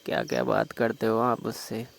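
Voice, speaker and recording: A man's voice: mid-range voice, monotone, normal speed, vocal fry, a little hiss or hum. A man playing a flirtatious, pushy village brother-in-law on a staged night phone call, coaxing informally and persistently with a Rajasthani accent. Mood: probing, flirtatious. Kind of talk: staged phone-call role-play in casual talk.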